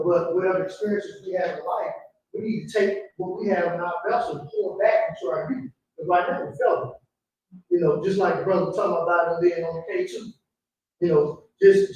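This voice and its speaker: Male advocate, 50s, high voice, rhetorical and urging, captured off-mic in a reverberant room. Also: animated, fairly quick, a little mellow. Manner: rhetorical, exhorting. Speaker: panel speaker, community advocate. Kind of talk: impassioned unscripted public remarks. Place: room at a live community event. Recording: echoey room, distant mic.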